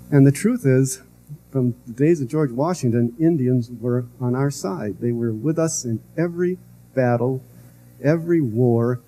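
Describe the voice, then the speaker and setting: An older man giving a public lecture, speaking softly with frequent pauses and fillers. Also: mid-range voice, slight background noise, low-quality recording. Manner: soft-spoken, halting with many fillers. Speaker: guest lecturer. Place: lecture hall. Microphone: podium microphone.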